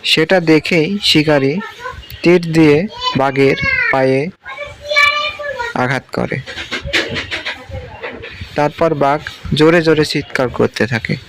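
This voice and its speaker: A man's voice: a little high, takes it slowly, long pauses, background noise. Male narrator of children's animal fables. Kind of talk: scripted children's story narration.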